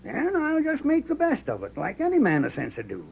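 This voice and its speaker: An actor playing a cautious, cowardly small rancher in a 1940s Western radio drama, with a raspy, folksy American drawl. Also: male voice, very high voice, huge pitch swings, a little fast, heavily acted. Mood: pleading, wary. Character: cautious, cowardly small rancher, worried old-time Western father figure. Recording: muffled old 1940s radio recording.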